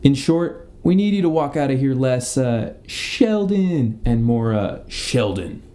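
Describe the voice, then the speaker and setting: Mellow deadpan detective played by a young man. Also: mid-range voice, measured pace, natural voice. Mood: deadpan, stern. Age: young adult. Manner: deadpan, officious. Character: deadpan, officious male detective interrogating a suspect. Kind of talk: comedic radio play acting.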